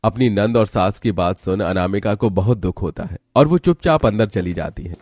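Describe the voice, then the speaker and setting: Expressive male storyteller with swinging intonation. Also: fairly low pitch, normal speed, dry recording, studio quality. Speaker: narrator. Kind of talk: scripted story narration.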